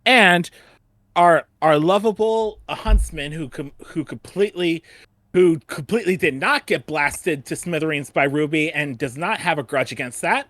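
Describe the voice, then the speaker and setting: A man's voice: a little high, moderate pace, fairly bright tone, no echo. A man hosting a casual fan retrospective panel, often repeating and restarting his phrases.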